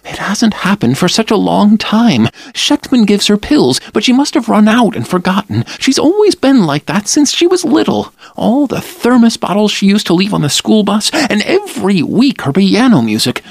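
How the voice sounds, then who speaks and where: A man voicing an anxious, chatty older mother who fusses over her daughter, in a high, fluttering voice. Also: very animated, moderate pace, vocal fry, no echo, full character voice. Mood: frantic.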